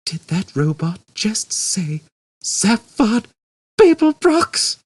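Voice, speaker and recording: A man voicing a bewildered, agitated male space traveller in an audiobook, halting and incredulous. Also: big pitch swings, breathy edge, no echo, full character voice. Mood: stunned. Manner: high-pitched, halting, incredulous. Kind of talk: audiobook character voice.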